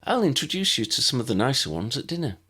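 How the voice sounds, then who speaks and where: A man voicing a helpful, chatty older schoolgirl prefect in an audiobook, briskly and kindly explaining things. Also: medium pitch, very animated, sharp tone, husky, pitched above the performer's own voice, heavily acted. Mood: friendly, explaining. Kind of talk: audiobook character voice.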